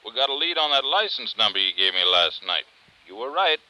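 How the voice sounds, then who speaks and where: A man acting a blunt, insistent deputy district attorney in a 1950s radio detective drama, gruff and colloquial. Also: on the low side, very animated, fairly quick, bright, forceful tone, heavily acted. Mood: dry, matter-of-fact. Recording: noisy vintage radio recording.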